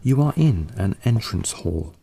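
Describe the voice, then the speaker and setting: A man narrating a scripted guided meditation in a calm, unhurried, soothing way. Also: lowish voice, creaky, breathy edge. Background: soft background music.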